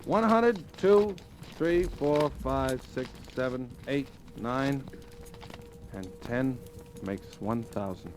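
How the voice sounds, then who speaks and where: An actor playing a nervous, meek clerk, hesitant and slangy, in a 1940s radio drama. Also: male voice, medium pitch, slow, close to an everyday voice. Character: nervous, meek male office clerk gambling desperately. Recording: old radio recording with music.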